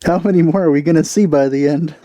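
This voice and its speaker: A man's voice: on the high side, quick pace, warm tone, no echo. A man giving casual gameplay commentary as a let's play host.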